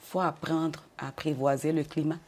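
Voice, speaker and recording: An older woman with a notably low voice, originally from Côte d'Ivoire, answers questions in an interview. She speaks in a measured way and often doubles her words as she gathers her thoughts. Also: steady tone, darkish tone. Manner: measured, repeats words, brief laughs. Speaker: interview guest.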